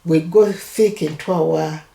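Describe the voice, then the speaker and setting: An older woman from Uganda with a Ugandan accent, telling her life story in an interview and speaking in halting phrases with many restarts. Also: low-pitched, fairly even intonation, vocal fry, dark tone, roomy sound, poor audio. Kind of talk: oral history interview.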